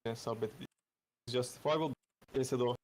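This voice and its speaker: A man's voice: medium pitch, steady tone, a little fast, a little mellow. A man answering in a stream debate, hesitant and repeating himself as he makes his point.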